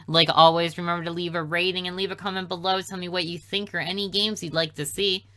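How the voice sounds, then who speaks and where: A man's voice: high-pitched, level tone, fast talker, sharp tone, smooth. A young man giving casual playthrough commentary as a video host, rambling with joking asides.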